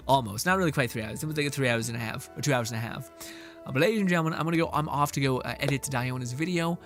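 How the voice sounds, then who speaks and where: A man streaming and chatting casually with viewers, rambling with many restarts, his voice a little hoarse. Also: medium pitch, quick pace, fairly bright tone, soft background music. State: slightly hoarse. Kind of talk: casual stream chat, reading chat.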